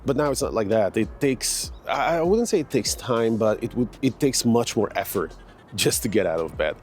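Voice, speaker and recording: Man in his forties, low voice. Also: animated, moderate pace, faint music behind. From Hungary. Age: 40s. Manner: rambling, many fillers and restarts. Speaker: fitness vlogger. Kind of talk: casual walking vlog. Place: outdoor park in the morning.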